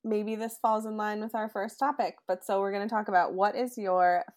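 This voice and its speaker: A woman's voice: medium pitch, level tone, normal speed, a little mellow. Upbeat woman hosting a chat. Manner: upbeat, leads the conversation. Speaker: podcast host. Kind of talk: casual chat.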